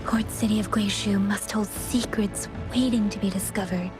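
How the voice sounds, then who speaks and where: A woman's voice: medium pitch, fairly even intonation, moderate pace, close to an everyday voice. A woman playing an earnest, cautious young investigator in a video game, speaking seriously over music. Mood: serious, wary. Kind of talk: scripted video game voice acting.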